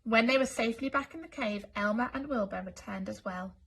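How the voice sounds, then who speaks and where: A woman storyteller reading a children's picture book aloud in an expressive, deliberate way. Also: on the high side, gravelly, breathy edge. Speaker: school storyteller.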